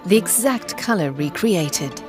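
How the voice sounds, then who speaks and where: A woman reading scripted documentary narration in a measured, explanatory way. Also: fairly low pitch, animated. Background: background music.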